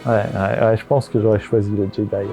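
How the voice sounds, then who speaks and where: A man's voice: lowish voice, level tone, fairly quick, over loud music. A man giving informal interview answers as a local government executive, often hesitating and repeating words as he searches for phrasing.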